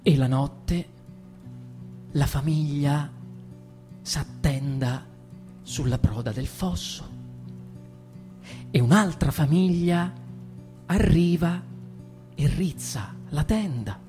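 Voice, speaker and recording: A woman giving an intense theatrical reading of literary prose, declamatory and full of dramatic pauses. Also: deep voice, dark tone. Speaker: actress reading in a radio collective. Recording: voice over prominent guitar music. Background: guitar music.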